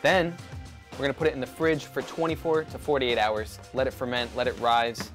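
A man's voice: medium pitch, fairly even intonation, brisk, fairly bright tone. A young man co-hosting a casual cooking video, giving step-by-step instructions. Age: young adult. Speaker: cooking show co-host. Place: home kitchen. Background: faint instrumental music.

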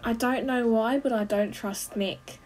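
A young adult woman giving casual, expressive running commentary as she reacts to a film, full of exclamations. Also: on the high side, measured pace. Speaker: movie reaction host. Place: small bedroom.

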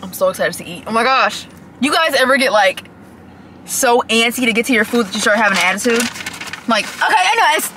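Young woman, bright voice, chatty gushing vlogger, parked car, faint road hum. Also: fairly high pitch, animated, a little fast. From Michigan. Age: 20s. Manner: chatty and gushing, says y'all often. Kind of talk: casual chat to camera.

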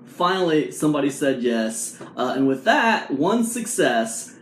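A man in his forties talks straight to his phone camera in a small room at home, spinning a motivational story with lots of "right?" and "you know." His voice sits a bit high for a man and swings up and down a lot. The room gives it a noticeable echo. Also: slight background noise. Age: 40s. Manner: animated storytelling with many fillers and rhetorical "right?". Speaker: vlog host. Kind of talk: casual motivational talk to camera. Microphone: camera or phone at arm's length. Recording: echoey room sound.

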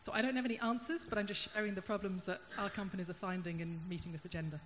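A woman's voice: medium pitch, steady tone. Woman in a conference audience, thoughtful and searching, handheld mic, roomy hall reverb with a faint hum. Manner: thoughtful, searching, with pauses and restarts. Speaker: audience member at a panel. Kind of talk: spontaneous audience comment. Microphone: handheld microphone.

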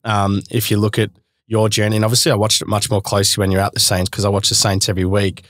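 A man's voice: lowish voice, completely flat delivery, fast talker, dry recording, studio quality. Chatty Australian podcast host in his thirties. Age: 30s. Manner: chatty, laughs often, matey slang. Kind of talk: casual podcast interview. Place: small studio room with couch. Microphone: boom-mounted podcast mic.

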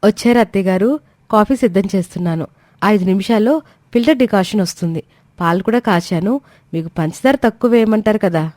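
A woman playing a patient young daughter-in-law in an animated moral story, polite, measured and quietly firm. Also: medium pitch, dry recording, close to an everyday voice. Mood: calm, polite.

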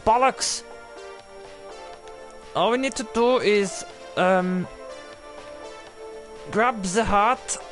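Young guy streamer w a fake german-ish accent, kinda high voice, swears a lot and yells when stuff works. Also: extremely slow, long pauses, faint music behind. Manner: mock German-style accent drifting into a made-up one; swearing, flustered, bursts of excited shouting. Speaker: game streamer. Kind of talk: live let's-play commentary.

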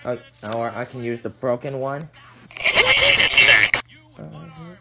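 A man hosting a casual hobby app tutorial, speaking haltingly and repeating his phrases. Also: medium pitch, creaky. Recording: dull lo-fi audio with noise.

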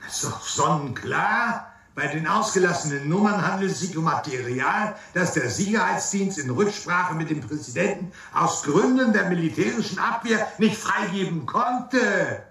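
An impatient male general in a radio play. His voice is high and forceful, with a brusque, dismissive manner, in a very echoey room. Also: lively intonation, moderate pace, vocal fry, heavily acted. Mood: irritated. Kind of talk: radio drama dialogue. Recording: very echoey, distant from the microphone.

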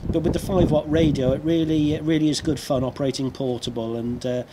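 A man's voice: on the high side, fairly even intonation, brisk. A British man chatting casually as an amateur radio operator, with a hesitant, talkative delivery, recorded outdoors on a rooftop. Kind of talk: casual radio contact and outdoor video commentary. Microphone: video camera near the speaker. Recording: outdoor rooftop with clear background noise.

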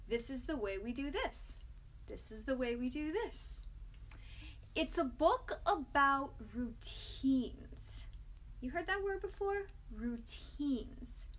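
A young woman teacher talking to small children in simple words, asking questions and pausing for their replies. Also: sits high. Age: young adult. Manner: simple child-directed talk with questions and pauses for response. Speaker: music teacher hosting children's storytime. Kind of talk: children's lesson, speaking to young kids.

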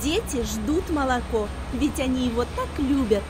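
Lively high-voiced woman narrating for kids. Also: normal speed, background noise. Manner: simple, clear explanatory storytelling. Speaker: narrator of children's educational cartoon. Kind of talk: scripted narration for young children. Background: background music.

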